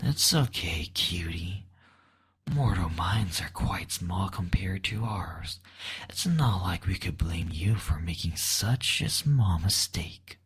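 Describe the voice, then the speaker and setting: A man's voice: low-pitched, animated, takes it slowly, a bit bright, full character voice. A man voicing a playful, flirtatious demon lord who coaxes and mocks in intimate roleplay audio. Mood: amused, soothing. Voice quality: breathy, whispery. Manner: coaxing, flirtatious, mocking.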